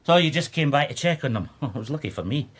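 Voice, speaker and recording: An actor playing a cheeky, wheedling brother in trouble in a radio drama, with a husky voice and teasing manner. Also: male voice, fairly high pitch, expressive, quick pace. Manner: teasing, pleading, chuckling.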